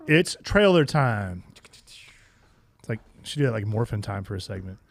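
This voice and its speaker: A man's voice: fairly low pitch, sing-song, a little slow. A man chatting casually as a podcast co-host, blunt and slangy in how he talks. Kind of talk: casual chat.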